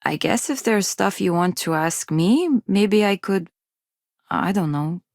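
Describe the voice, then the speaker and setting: An actress playing a shy, self-doubting young undergraduate from a poor space colony, with a hesitant, awkward manner, in video game dialogue. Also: female voice, mid-range voice, measured pace, vocal fry, a little mellow, dry recording, clean studio sound. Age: young adult.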